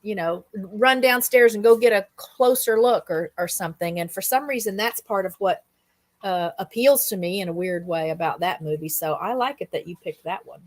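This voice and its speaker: A woman hosting a casual interview, speaking conversationally and reflectively, pausing in mid-thought. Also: mid-range voice, dry recording. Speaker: host, interviewer.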